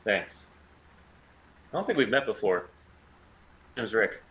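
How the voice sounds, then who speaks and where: A man playing a calm, defiant rogue pilot with a plan in a sci-fi table read. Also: on the low side, unhurried. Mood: dry, frank.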